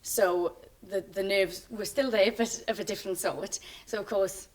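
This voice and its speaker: A woman's voice: medium pitch, steady tone, moderate pace, darkish tone, recorded from a distance. A young woman with a Welsh accent, a native Welsh speaker, chatting casually as a student guest and tending to ramble. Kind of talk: casual interview answers.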